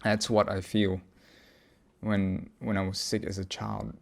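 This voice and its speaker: Man, low-pitched, creaky gravelly voice, introspective solo monologue, hesitant, searching phrasing. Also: flat delivery. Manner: halting, searching for words, often pausing mid-thought. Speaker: podcast host. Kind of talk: reflective personal audio log monologue.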